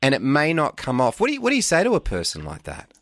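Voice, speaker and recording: A middle-aged man hosting a radio interview in a relaxed, encouraging, conversational way. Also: fairly high pitch, quick pace, dry recording, clean studio sound. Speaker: radio host.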